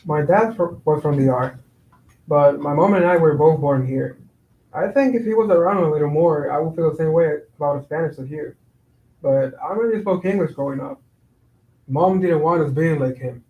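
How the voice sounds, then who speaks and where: A man's voice: a little high, moderate pace, narrow, tinny audio, lightly acted. A man playing an evasive, easygoing young construction worker in a staged play reading, hesitant and casual, in an echoing room. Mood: wistful. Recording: roomy, echoing sound.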